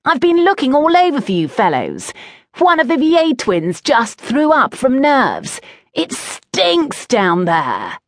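A woman voicing a rude, mocking fifteen-year-old schoolboy in an audiobook story, loud and taunting. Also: fairly high pitch, sing-song, a little slow, no room sound, clean studio sound, pitched above the performer's own voice. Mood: brash.

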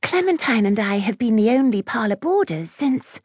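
A woman's voice: a little high, sing-song, normal speed, darkish tone, husky, dry recording, studio quality, pitched above the performer's own voice. A woman voicing an anxious, well-meaning schoolgirl of quality in an audiobook, polite and flustered.

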